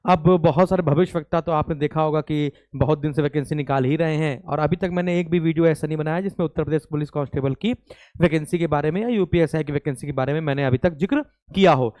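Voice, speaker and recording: Man, slightly high smooth voice, coaching teacher, informal update, explanatory, repetitive check-ins. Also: quick pace, darkish tone, no echo. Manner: explanatory, repeats phrases and adds check-in tags. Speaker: teacher and presenter of an exam-coaching channel. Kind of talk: informal exam news update to students.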